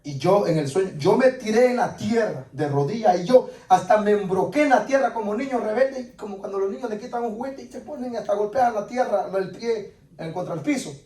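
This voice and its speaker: Guy preaching, really high voice, repeats lines for emphasis, echoey and kinda far from the mic. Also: animated, fairly quick, mellow. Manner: emphatic, insistent repetition of phrases. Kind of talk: sermon, dramatic personal testimony. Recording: echoey room, distant microphone.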